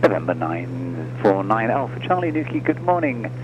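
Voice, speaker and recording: A man's voice: fairly low pitch, big pitch swings, moderate pace. A man working as an air traffic controller, giving polite procedural instructions over aviation radio. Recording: muffled radio transmission with engine noise.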